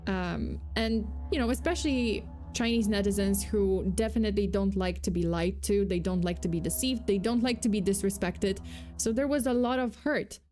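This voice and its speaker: A woman's voice: medium pitch, moderate pace, mellow. Young female streamer with a slight Polish accent, loose and rambling delivery, faint music underneath. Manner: chatty, full of fillers and self-corrections, reads chat aloud. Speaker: VTuber host. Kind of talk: casual true-crime livestream chat.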